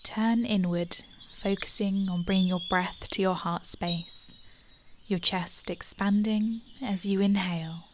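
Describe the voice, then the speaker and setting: A woman guiding a gratitude meditation in a slow, soft, soothing voice that drops to a breathy near-whisper at times. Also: mid-range voice, fairly even intonation, a little hiss or hum. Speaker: meditation guide. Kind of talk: guided meditation.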